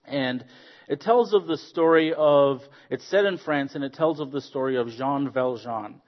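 A man from Zambia, a guest preacher giving a friendly sermon with stories, joking and pausing on fillers. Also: mid-range voice, dull audio. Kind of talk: sermon with storytelling.

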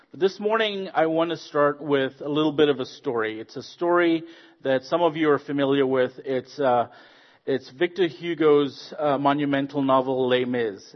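A man's voice: mid-range voice, moderate pace. Man, guest preacher, friendly and joking, slightly dull lo-fi recording. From Zambia. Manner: friendly, joking, hesitant with fillers. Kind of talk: sermon with storytelling.

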